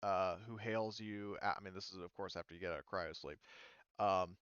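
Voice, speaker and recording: A man's voice: sits low, fairly even intonation, brisk, a bit bright, smooth, no room sound, lo-fi sound. A man narrating a tabletop game as game master, rambling with many fillers and restarts.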